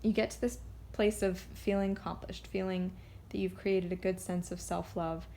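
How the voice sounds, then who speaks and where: A woman speaks as a card reader in a loose, unscripted way. Her voice is mid-pitched with a lot of creaky fry. She halts, restarts and wanders into side tangents, with faint noise behind her. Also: steady tone, dark tone. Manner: rambling, halting, goes off on tangents. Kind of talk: casual, unscripted intuitive card reading.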